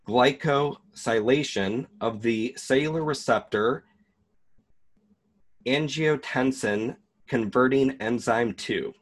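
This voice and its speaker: A man hosting an online video, reading a document aloud and commenting in a deliberate, emphatic way. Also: mid-range voice.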